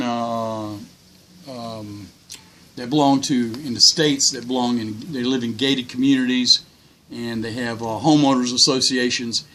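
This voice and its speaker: A man's voice: mid-range voice, fairly bright tone. An older man giving casual, unscripted hobby tips in a folksy, plain-spoken, rambling way. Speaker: hobbyist video host.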